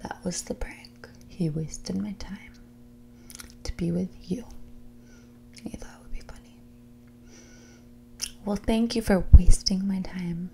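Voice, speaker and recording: Woman playing a whispering, creaky-voiced snobby rich girl. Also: fairly low pitch, dark tone, a little hiss or hum, full character voice. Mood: bitter, dismissive. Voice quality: breathy, whispery. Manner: condescending, bratty, dismissive, drawn-out casual phrasing. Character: mean, snobby rich young woman on a bad date. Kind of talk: ASMR roleplay, whispered and soft spoken. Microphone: close ASMR microphone.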